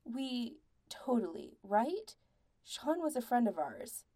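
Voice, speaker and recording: A young male singer in a storybook drama, played by a woman reader, flustered and quick to defend himself. The voice sits high and lively, with words tumbling out. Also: exaggerated voice. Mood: nervous, rambling.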